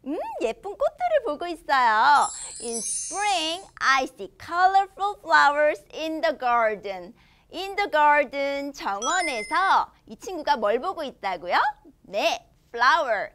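A woman in her thirties teaches young children English in a studio. Her voice sits high and swoops up and down. She stretches out each English phrase and speaks with an unhurried, kid-friendly cheer. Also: very animated, a bit bright, slight background noise. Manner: slow, exaggerated English read-aloud, with cheerful Korean explanations to kids. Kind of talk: children's English lesson, reading a storybook. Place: studio in front of a green screen.

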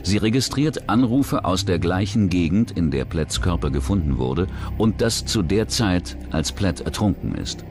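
A man with a very low voice narrates a scripted true-crime documentary. His delivery moves widely in pitch from phrase to phrase. Music plays clearly behind him. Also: expressive, moderate pace.

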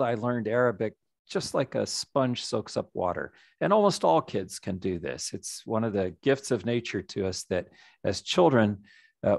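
A middle-aged man, a nonprofit executive director, giving a reflective, measured story-led presentation over a video call. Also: lowish voice, gravelly, dry recording, clean studio sound. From United States.

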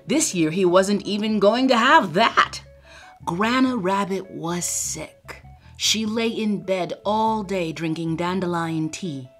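A woman's voice: mid-range voice, slow pace, fairly bright tone, soft background music. An older woman reading a children's story aloud expressively, with asides to young listeners, indoors on a webcam. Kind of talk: children's read-aloud narration. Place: indoor room with bookshelves.

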